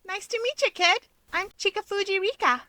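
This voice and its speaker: A woman's voice: extremely high pitch, moderate pace, bright, forceful tone, dry recording, voice pushed up. A woman voicing a brash, grinning young woman who teases playfully in an audiobook-style story. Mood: teasing. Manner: playful, teasing.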